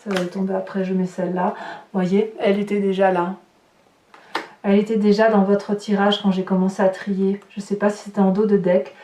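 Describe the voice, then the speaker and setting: A woman's voice: medium pitch, level tone, brisk, mellow. A woman hosting an informal tarot reading, addressing viewers and thinking aloud in chatty, hesitant asides. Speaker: tarot reader, channel host. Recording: distant mic, roomy echo, noticeable hiss.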